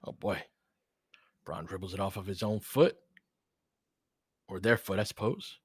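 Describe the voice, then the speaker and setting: A man's voice: low voice, moderate pace, husky, no room sound. A man doing live audio play-by-play of basketball, mixing conversational analysis with replies to listeners as he thinks aloud. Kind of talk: live basketball commentary mixed with casual analysis and chat replies.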